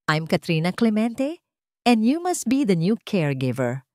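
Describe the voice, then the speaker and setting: Lady doing a sugary sweet rich wife villain, sharp edge underneath, swoopy pitch, drama story. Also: very animated, a little slow, dry recording, studio quality. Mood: sweet, possessive. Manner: honeyed with a sharp edge, condescending.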